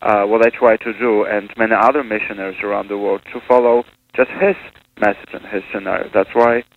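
A man from Poland talks over a thin, phone-like radio line, with a light hiss behind his voice. He is a priest speaking as a missionary guest, and he talks in a halting way, often pausing and restarting his sentences. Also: lowish voice, fairly even intonation. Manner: hesitant, many fillers and restarts. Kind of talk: conversational interview.

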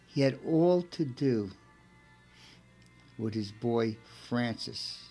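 A man's voice: mid-range voice, a little mellow. A man with a Brooklyn accent hosting a religious radio show, telling a saint's story in a chatty way that often repeats itself. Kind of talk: casual radio chat and storytelling.

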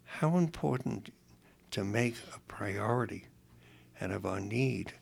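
A man co-hosting a religious radio show, reading a devotional text aloud in a measured, earnest way. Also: lowish voice, expressive, creaky. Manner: measured, earnest reading with a dry humor in banter.

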